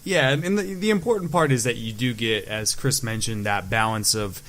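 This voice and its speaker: A man with a mid-range voice on a casual podcast. He talks things through in a measured way, restarting his sentences often as he builds an argument. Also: medium pitch, a bit bright. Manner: measured, explains at length with many restarts. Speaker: podcast co-host.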